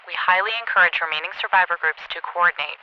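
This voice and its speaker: A man playing an impassive emergency alert announcer through a radio filter, speaking in a deliberate, official manner. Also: sits high, a little hiss or hum. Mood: impassive, grim. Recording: dull, band-limited radio broadcast.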